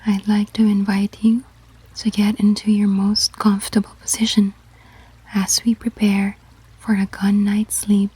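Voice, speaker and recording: Breathy, whispering woman guiding sleep meditation. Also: medium pitch, completely flat delivery, no room sound, low-quality recording. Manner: slow, gentle, measured instructions. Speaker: guided meditation narrator. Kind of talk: guided sleep meditation, ASMR.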